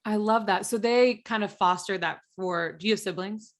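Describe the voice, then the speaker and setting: A woman's voice: mid-range voice, normal speed. A woman, hosting a podcast in a casual, agreeable, chatty way. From southern US. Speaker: podcast host, interviewer. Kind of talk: casual chat.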